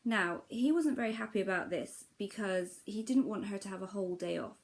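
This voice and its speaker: A woman's voice: medium pitch, a little fast. A woman, a mother talking casually to camera about homeschooling, chatty and explanatory with frequent ums. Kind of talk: casual vlog talk to camera.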